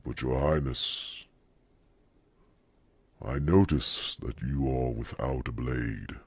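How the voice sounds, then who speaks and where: A man playing an ancient, kindly, wry druid mentor in a fantasy ASMR roleplay, with a breathy, gravelly rasp and deliberate, theatrical pauses. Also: rumbling low, no room sound, full character voice. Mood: proud, proclaiming.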